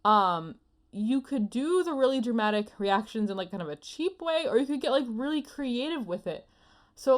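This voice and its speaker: Effusive woman chatting casually. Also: fairly high pitch. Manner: effusive, emphatic, rambling. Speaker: podcast co-host. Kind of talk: casual opinionated chat.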